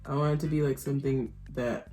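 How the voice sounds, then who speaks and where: A woman's voice: extremely low pitch, fairly even intonation, brisk, mellow, soft background music. A nineteen-year-old woman vlogger chatting casually while her makeup is done, rambling and giggly with lots of fillers. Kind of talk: casual chat.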